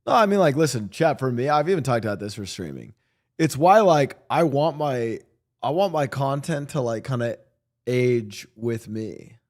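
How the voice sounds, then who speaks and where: A man's voice: mid-range voice, animated, moderate pace, darkish tone. A man live-streaming, chatting casually to his viewers and reacting to a clip, rambling and swearing freely. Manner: swearing, rambling, talks to chat.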